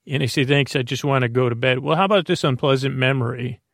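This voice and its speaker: Gravelly, creaky man rambling along. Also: mid-range voice, no room sound, clean studio sound. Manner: halting, digressive, repeats and trails off. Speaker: host of a bedtime sleep podcast. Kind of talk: rambling, meandering monologue.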